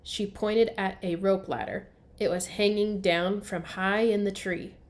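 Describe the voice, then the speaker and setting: A woman teacher reads a children's storybook aloud at a slow, measured pace. Her pitch sits in the middle for a woman, and she phrases things simply for small listeners. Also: steady tone. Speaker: first grade teacher.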